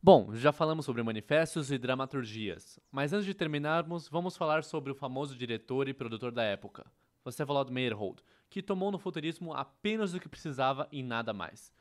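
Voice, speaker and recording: A man's voice: mid-range voice, expressive, fairly quick. Male narrator, Brazilian accent, mid-range voice, scripted educational explainer read. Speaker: educational presenter dubbing a theatre history course.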